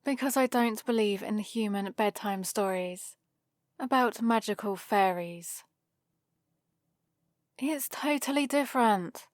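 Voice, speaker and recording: A woman's voice: medium pitch, slow, pauses often, no room sound, close to an everyday voice. A woman playing a haughty, sarcastic centuries-old vampire librarian in a scripted ASMR roleplay, with a dry, theatrical delivery. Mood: dismissive, sardonic.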